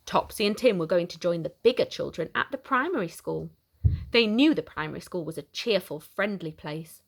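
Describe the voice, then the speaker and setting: A woman reading a children's picture book aloud in an animated, expressive way for young listeners. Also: lowish voice, moderate pace, vocal fry. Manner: animated, simple questioning aimed at small children. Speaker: children's story reader.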